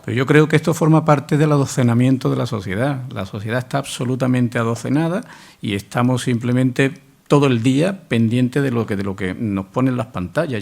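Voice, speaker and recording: A man's voice: mid-range voice, gravelly, darkish tone. An older man moderating a public colloquium, giving his opinions in a conversational way and inviting questions. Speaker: moderator and speaker. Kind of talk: colloquium discussion.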